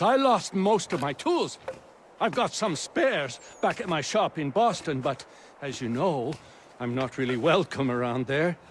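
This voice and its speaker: Humble tradesman, apologetic, high male voice, very lively pitch, over noisy ambience.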